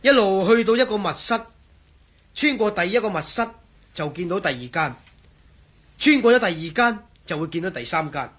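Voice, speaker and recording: A man acting a proud, excitable engineer and grumbling companion in a radio drama, with a Hong Kong Cantonese accent. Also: high voice, moderate pace, long pauses, husky, exaggerated voice. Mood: careful, warning.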